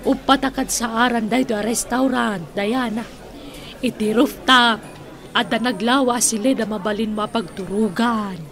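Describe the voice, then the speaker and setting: Rich, teasing Filipino auntie, high-voiced. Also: female voice, steady tone, unhurried, a bit bright. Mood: proudly revealing. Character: wealthy, playful, generous auntie who owns businesses. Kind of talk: radio drama acting.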